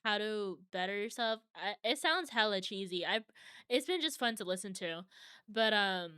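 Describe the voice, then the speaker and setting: A woman's voice: medium pitch, animated, moderate pace, pushed and bright, clear, resonant tone, dry recording, studio quality. A young adult woman streaming from home, chatting casually with viewers and answering their comments.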